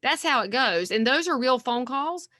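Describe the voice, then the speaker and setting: A woman talks in a bright, ringing, somewhat high voice. She speaks with folksy, energetic animation, and the sound is dry and close to the mic. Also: moderate pace, no room sound. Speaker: guest realtor. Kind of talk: podcast interview.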